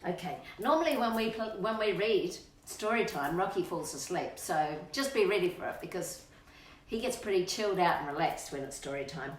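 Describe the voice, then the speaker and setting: Storytime presenter: Australian woman, middle-aged, very lively pitch, playful and coaxing, recorded at a distance in a roomy space. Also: moderate pace, a little hiss or hum. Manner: playful, coaxing, sing-song questions. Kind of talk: chatty children's storytime, talking to kids and her dog. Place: indoors at home, on a couch. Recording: roomy echo, distant microphone.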